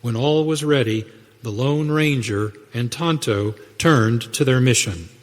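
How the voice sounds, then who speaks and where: A man's voice: medium pitch, unhurried. A man narrating a western radio drama in a scripted, dramatic storytelling style. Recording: reverberant hall sound.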